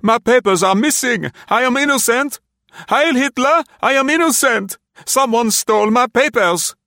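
Frantic, very high-pitched pleading man. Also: moderate pace, creaky, pushed and bright, dry recording, clean studio sound, full character voice. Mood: panicked. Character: panicked man pleading innocence under arrest.

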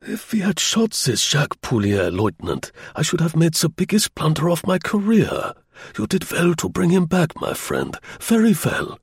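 A man voicing a pompous, excitable German general in an audiobook, with a high, querulous, imperious delivery. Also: moderate pace, breathy edge, no room sound, clean studio sound, heavily acted. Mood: relieved. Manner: imperious, exclamatory.

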